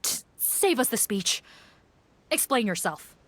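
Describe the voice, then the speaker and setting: An actress playing a blunt, composed police officer in a game, speaking formally and firmly. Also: female voice, a little high, sing-song, takes it slowly, a bit bright, slight background noise, exaggerated voice. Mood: stern. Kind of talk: game voice acting.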